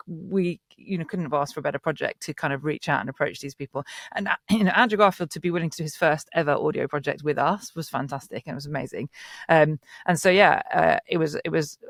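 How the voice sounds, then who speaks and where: A man with a fairly high voice and a noticeable creak in it, recorded close and dry. He talks in a casual panel style, enthusiastic and rambling as he explains his work as a producer. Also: a bit bright, no echo. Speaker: audio drama producer discussing casting.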